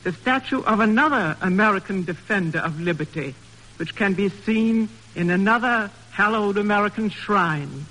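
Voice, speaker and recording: A woman's voice: medium pitch, expressive, moderate pace, fairly bright tone. A woman giving scripted guest remarks on a 1940s radio broadcast. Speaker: guest author and sculptor. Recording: old radio broadcast, long hall reverb.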